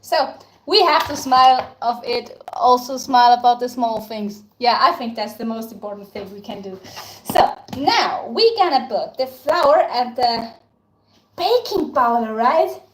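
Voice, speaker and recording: A woman's voice: fairly high pitch, animated, unhurried. A young woman from Tyrol with an Austrian German accent, hosting a casual live stream while baking and chatting with viewers. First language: German (Tyrolean dialect). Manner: chatty, answers viewer comments, thanks them often. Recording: echoey room with background noise.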